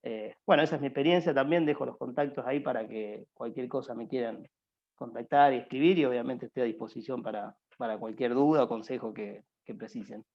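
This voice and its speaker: A man's voice: mid-range voice, fairly quick, creaky, highs cut off. A young man with an Argentine accent giving rambling, informal career advice to students as a workshop panelist over a video call, with many fillers and restarts. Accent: Argentine Spanish.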